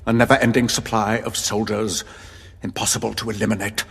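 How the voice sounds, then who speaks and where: An actor playing a smug, theatrical military leader who talks with condescending polish in an audio drama. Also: male voice, little pitch movement, brisk, fairly bright tone, full character voice. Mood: gloating. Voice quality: low, gravelly. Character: smug, coolly enthusiastic male military leader. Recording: reverberant hall with music bed.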